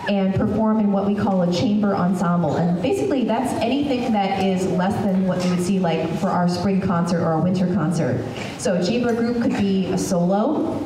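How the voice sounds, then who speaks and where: A woman in her thirties, hoarse from a head cold, giving a friendly welcome speech at a school concert through a handheld mic, with lots of ums. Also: medium pitch, background noise. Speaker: school strings teacher. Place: school hall, large room. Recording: echoey hall, taped from far off.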